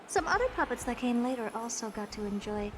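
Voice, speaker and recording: A woman's voice: on the high side, animated, fast talker, noisy background, lightly acted. A woman playing a condescending, self-assured genius sorceress in a video game, with theatrical delivery. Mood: haughty. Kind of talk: video game character acting. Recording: game music behind the voice.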